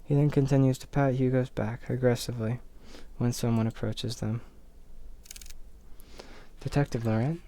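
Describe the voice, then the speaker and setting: A man with an average-pitched voice reads a story aloud. He narrates expressively, like someone sharing a visual novel with viewers, and can slip into casual commentary. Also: steady tone, brisk, hardly any pauses, warm tone.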